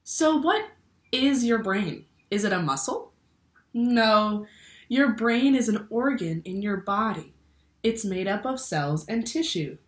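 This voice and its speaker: A woman's voice: mid-range voice, expressive, takes it slowly. A young woman reading a children's picture book aloud like a teacher, expressively, asking the listeners questions. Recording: roomy indoor echo.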